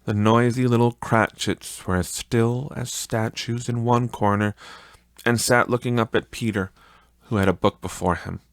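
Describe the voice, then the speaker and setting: A man's voice: mid-range voice, fairly even intonation, normal speed, no echo, studio quality. A man narrating a classic story as an audiobook reader, in a dramatic literary style.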